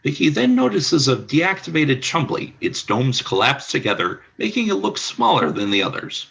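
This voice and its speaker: A man narrating the stage action of an amateur radio play in an even reading. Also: a little high, normal speed, creaky, breathy edge, highs cut off.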